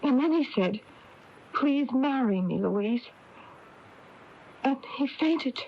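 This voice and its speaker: A woman's voice: sits high, animated, slow, slight background noise, exaggerated voice. An actress playing an uneasy small-town woman recounting a strange visit in a 1980s radio drama. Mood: anxious, wondering. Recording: dull lo-fi taped radio broadcast.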